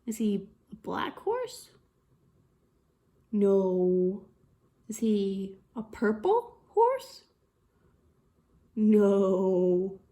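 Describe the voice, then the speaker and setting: A woman reading a picture book aloud to young children as a storytime teacher, in an expressive sing-song manner with lots of questions. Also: mid-range voice. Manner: sing-song, slow and deliberate, with exaggerated expression and frequent questions.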